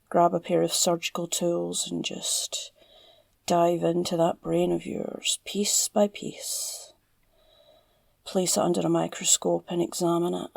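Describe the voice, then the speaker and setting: Woman playing a slow, gravelly Scottish female villain, obsessive. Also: fairly low pitch, a little mellow, no echo, full character voice. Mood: obsessive, menacing. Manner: teasing, drawling, slipping into breathy murmurs. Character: obsessive, flirtatious, psychotic female villain, captured crime boss. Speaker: audio roleplay voice actor. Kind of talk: ASMR villain roleplay monologue.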